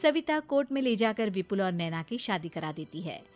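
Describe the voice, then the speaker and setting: A woman delivering scripted narration as the storyteller of an animated tale. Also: medium pitch, normal speed, over loud music.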